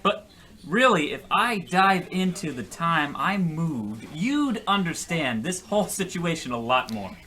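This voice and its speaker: A man's voice: fairly high pitch, big pitch swings, a little slow, sharp tone, exaggerated voice. A man playing a gullible, defensive husband who rambles through his tall story with exasperation in an old-time radio comedy. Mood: flustered.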